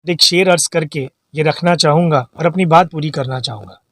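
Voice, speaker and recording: Man, high voice, emphatic, exhortative, political speech, English words mixed in, dry sound. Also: normal speed, fairly bright tone, no echo. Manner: exhortative, emphatic, repeats phrases for effect. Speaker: political activist giving a statement. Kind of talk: impassioned political appeal to camera.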